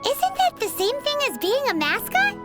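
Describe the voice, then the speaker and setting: Super squeaky cheeky fairy sidekick from a video game, talks about herself in third person, voice bouncing all over. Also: female voice, wildly swooping pitch, moderate pace, over loud music, full character voice. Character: tiny floating female fairy companion, cheeky. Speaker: sidekick companion. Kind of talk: video game character banter.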